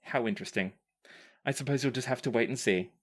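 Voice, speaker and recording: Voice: mid-range voice, fast. A podcast host and game master with a playful, wry manner, chatting casually and reading out listener questions. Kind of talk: casual Q&A chat.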